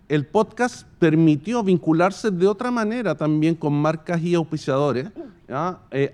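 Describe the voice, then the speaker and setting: A man with a Chilean accent giving an explanatory business presentation as a company executive, in formal, halting, deliberate phrases with long winding sentences. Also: a little high, darkish tone, clear, resonant tone. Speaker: radio and podcast company executive presenting a case study.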